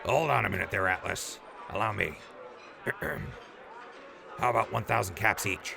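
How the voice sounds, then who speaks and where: A deep, folksy wasteland crew leader. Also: male voice, moderate pace, vocal fry, bright, forceful tone, heavily acted. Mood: wheedling. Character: easygoing, wily adult man leading wasteland crew. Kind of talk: post-apocalyptic audio drama acting.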